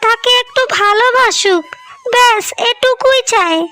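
A woman playing an earnest young sister-in-law in a cartoon melodrama, pleading and admonishing deliberately over her sister's behalf. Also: very high-pitched, bright, forceful tone, heavily acted. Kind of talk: slow melodramatic cartoon dialogue. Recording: music under the voice.

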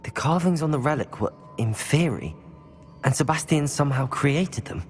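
A man playing a calm, cautious young student wizard in a video game, slightly husky. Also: on the high side, normal speed, faint music behind, natural voice. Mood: concerned. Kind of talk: video game dialogue acting.

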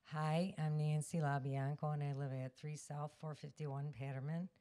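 A woman gives a plain public comment at a meeting. Her voice is notably low for a woman, and she states her view bluntly. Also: flat delivery, moderate pace, dark tone. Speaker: resident speaking at public comment.

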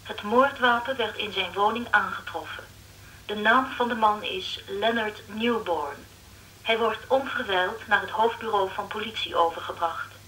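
An actress playing a businesslike radio station assistant, reporting formally and factually over an intercom in a radio drama. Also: female voice, mid-range voice, normal speed, pushed and bright. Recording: distant, hissy radio line.

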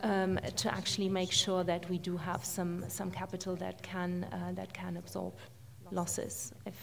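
Woman panelist, slow and flat delivery, hesitant. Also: fairly low pitch, creaky. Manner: hesitant, many fillers. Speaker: panelist from a development charity. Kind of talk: panel discussion answer.

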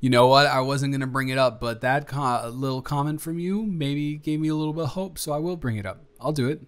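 A man's voice: medium pitch, fairly quick, clear, resonant tone, close to an everyday voice. A young man playing a nervous, devoted friend in an improvised romantic audio roleplay, talking conversationally with stammers and rambling. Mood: hopeful. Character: nervous, devoted young male friend about to confess love.

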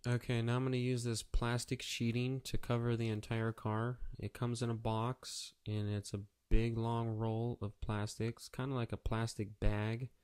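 Guy doing a casual how-to, really flat delivery, smooth clear voice, practical. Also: medium pitch, normal speed, few pauses. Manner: plain, practical, matter-of-fact. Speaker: auto painter giving a how-to tutorial. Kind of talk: casual step-by-step instructional narration.

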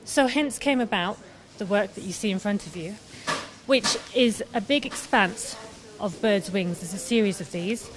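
A woman's voice: medium pitch, moderate pace, noisy background. A young woman from Scotland, an artist being interviewed live in a pub, talking enthusiastically with many fillers into a handheld microphone. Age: young adult. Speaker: artist guest. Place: busy pub. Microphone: handheld mic held by host.

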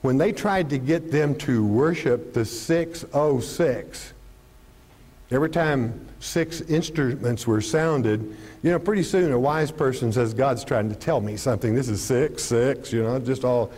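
Older man pastor on a lapel mic, warm, low-key tone, animated Bible teacher. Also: unhurried. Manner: animated, gestures constantly while teaching; poses rhetorical questions and answers them. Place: studio stage with podium and curtain.

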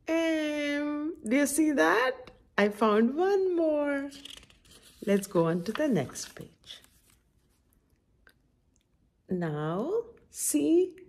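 A middle-aged woman reads a picture book aloud to young children. Her pitch swings widely in a sing-song, coaxing way, and she takes her time. There is some gravelly fry in her voice as she asks questions and comments on the pages. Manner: animated, sing-song, coaxing teacher-like delivery. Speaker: children's story-time host. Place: small indoor room at home.

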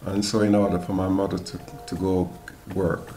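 A man, a guest in a home-kitchen interview, speaking slowly and softly in a measured way. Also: low voice, little pitch movement. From Jamaica. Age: 70s. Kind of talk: interview conversation. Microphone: desk mic on a boom arm.

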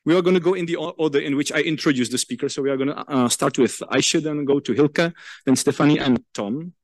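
A man's voice: a little high, quick pace, no echo. A man chairing an academic roundtable, setting out the speaking order and giving instructions in an organized way with some restarts. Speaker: panel chair. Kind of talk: introducing a roundtable. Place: large lecture hall.

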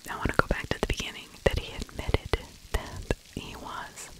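A middle-aged woman whispering true crime ASMR close to the microphone, breathy, with opinionated conversational asides. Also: moderate pace, poor audio. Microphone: close microphone with furry windscreen.

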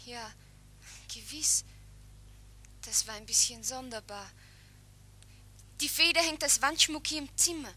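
A polite woman, a doctor's wife in a 1960s radio play. Her voice is strikingly bright and forward. There is faint noise on the vintage recording. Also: high-pitched, a little slow, pauses often, exaggerated voice.

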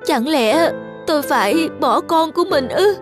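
A woman's voice: high voice, expressive, measured pace, music in the background, voice pushed up. A woman voicing a fragile but determined young wife in a cartoon, tender and pleading. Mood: distressed.

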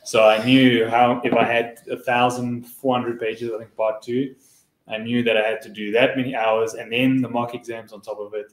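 A man's voice: medium pitch, little pitch movement, moderate pace. A man talking informally as a panelist. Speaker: webinar panelist. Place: indoor meeting room. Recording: roomy, echoey meeting room.

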